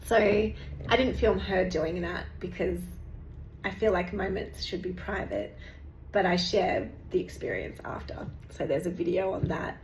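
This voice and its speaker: Chatty woman, gravelly and echoey. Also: fairly low pitch, measured pace. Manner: rambling, chatty, many fillers and restarts. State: losing her voice. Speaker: meditation teacher and channel host. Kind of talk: casual vlog update. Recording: echoey room with faint background noise.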